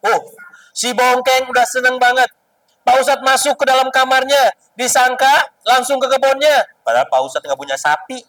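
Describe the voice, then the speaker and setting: A man's voice: very high voice, normal speed, bright, forceful tone, distant mic, rough recording. A man telling stories to children as an Islamic storyteller, teasing and explaining as he goes.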